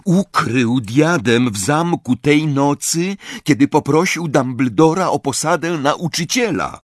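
Man doing a teen boy wizard hero in an audiobook, earnest and urgent, raised husky voice. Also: fairly high pitch, animated, unhurried, dry recording, studio quality, voice pushed up. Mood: dawning realisation. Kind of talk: audiobook character voice.

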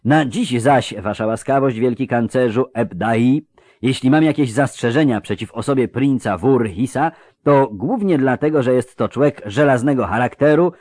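A man's voice: mid-range voice, darkish tone, dry recording, pitched above the performer's own voice, natural voice. Man acting a congested, diplomatic conspirator. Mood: conciliatory. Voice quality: congested, stuffed nose. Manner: measured, diplomatic. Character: composed conspirator with a head cold, conciliatory.

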